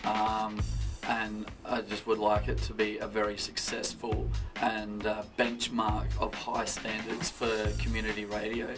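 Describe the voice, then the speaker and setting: A man's voice: fairly low pitch, monotone, a little slow. A man giving a casual, hesitant interview answer, piecing his thoughts together. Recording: distant mic, music behind.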